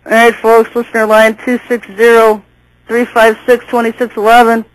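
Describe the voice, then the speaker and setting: A high-voiced woman talking on her own call-in radio show. She is chatty, laughs easily and often restarts her sentences. The sound is close and dry. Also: monotone, moderate pace, no echo, low-quality recording.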